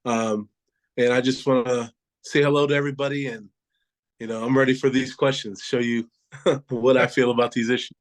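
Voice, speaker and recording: A man's voice: mid-range voice, little pitch movement, moderate pace. A man from Pittsburgh with a congested voice from a cold, a school board candidate answering interview questions earnestly, with many fillers. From Pittsburgh, Pennsylvania.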